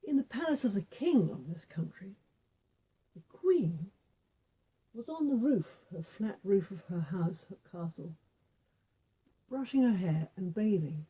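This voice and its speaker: A woman's voice: medium pitch, animated, unhurried, long pauses, dark tone, slightly rough, low-quality recording. A woman telling a folk tale informally, with repetition and little spoken asides. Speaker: storyteller with puppet figures.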